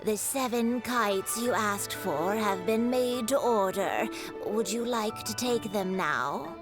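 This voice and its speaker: A woman voicing an older kite maker in a game, proud of her craft and speaking gently. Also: medium pitch, lightly acted. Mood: gentle. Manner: gentle, slow, storytelling. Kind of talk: game voice acting. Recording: game cutscene with music.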